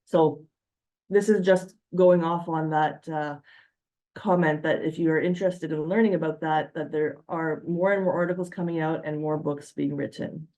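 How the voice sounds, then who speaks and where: Mujer que presenta con voz cálida y grave. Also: female voice, sits low, steady tone, normal speed, warm tone. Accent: Canadian. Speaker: physician presenter. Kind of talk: educational slide presentation.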